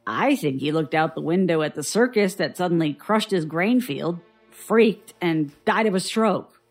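An actress playing a weary, guarded survivor giving dry, reluctant testimony in an audio drama. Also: female voice, fairly low pitch, expressive, measured pace, faint music behind. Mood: sardonic. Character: guarded, weary woman survivor recounting childhood strangeness.

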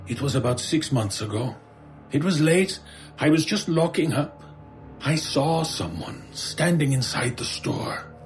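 An actor playing a frightened, haunted shopkeeper in a radio horror drama, halting and stammering in distress. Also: male voice, a little high, animated, soft background music. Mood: fearful, haunted. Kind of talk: old-time radio horror drama acting.

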